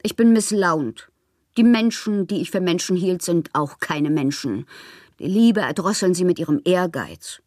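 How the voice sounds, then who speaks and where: A woman playing a moody, fanciful bohemian poet in a radio drama, reading her confessional letters aloud with expressive, theatrical, poetic phrasing. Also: fairly low pitch, unhurried, dry recording, faint music behind. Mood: morose, impassioned.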